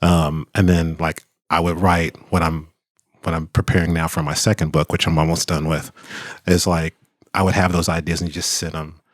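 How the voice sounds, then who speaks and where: A middle-aged man with a very deep voice talks openly as an interview guest, thoughtful and candid, often pausing, restarting and laughing. The sound is close and dry, with no room echo. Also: flat delivery. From Kentucky, US. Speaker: author and attorney, interview guest. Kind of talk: casual interview conversation.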